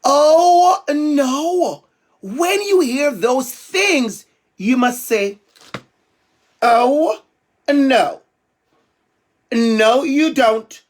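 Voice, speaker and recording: Animated Jamaican man addressing schoolkids. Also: very high voice, very slow, long pauses. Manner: playful, emphatic, calls out to the kids. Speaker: school guest speaker. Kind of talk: animated talk to young students.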